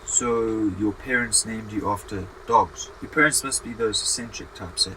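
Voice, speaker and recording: A young man acting as an eccentric elderly South African husband in a radio play. The voice is bright and forceful over noticeable background noise, with a blunt, rambling, nosy manner. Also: fairly low pitch, moderate pace, poor audio. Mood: curious, blunt. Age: teenager. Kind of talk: amateur radio drama acting.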